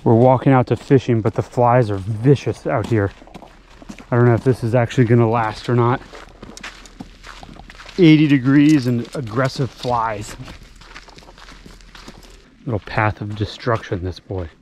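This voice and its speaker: A man's voice: mid-range voice, a little slow, pauses often, darkish tone, a little hiss or hum. A man narrating a family camping trip in an easygoing, chatty way with dry little asides. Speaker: family travel vlogger. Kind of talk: casual vlog narration. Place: outdoor lakeside campsite and in a car. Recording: music bed under voice. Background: music under the narration.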